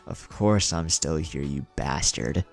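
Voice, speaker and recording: A man's voice: very deep voice, takes it slowly, gravelly, faint music behind, exaggerated voice. A man voicing a proud, prickly male clan warrior in a ninja story, terse and disdainful. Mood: annoyed. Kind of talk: fan fiction story reading.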